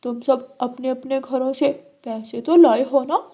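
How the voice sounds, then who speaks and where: A woman's voice: high-pitched, measured pace, dark tone. A woman voicing a cheeky young schoolboy crow in a cartoon, whiny and eager in a childlike way. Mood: gleeful.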